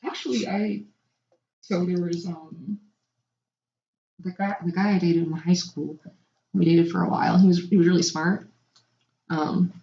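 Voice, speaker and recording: A woman podcast co-host in casual chat, animated and reactive, swearing casually, recorded with a dull sound in an echoey room. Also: fairly low pitch, a little slow, creaky, pauses often.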